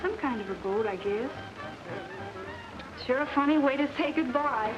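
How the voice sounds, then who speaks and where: An actress playing a lovesick, puzzled young American sweetheart of a soldier in a 1940s film. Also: female voice, high-pitched, animated, normal speed. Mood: puzzled, wistful. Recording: muffled 1940s film soundtrack, music behind.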